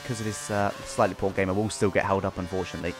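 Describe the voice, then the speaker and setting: Very fast-talking man commentating on racing. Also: low voice, little pitch movement, music in the background. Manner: explanatory, recapping. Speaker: video creator commentating on his own racing game race. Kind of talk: casual race commentary.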